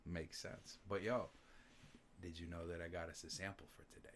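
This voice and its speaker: A man's voice: sits low, fairly even intonation, moderate pace. A man hosting a podcast and talking about making beats, laid-back, swearing casually and laughing often. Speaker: podcast host, beatmaker. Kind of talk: casual chat.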